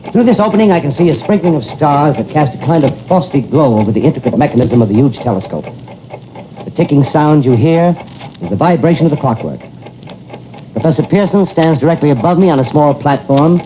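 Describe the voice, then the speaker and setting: An actor playing a courteous, eager male radio news commentator, speaking formally and a little haltingly in a 1930s radio drama. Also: on the high side, lightly acted. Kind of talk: 1930s radio drama live-broadcast reporting. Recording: scratchy, tinny 1930s radio recording.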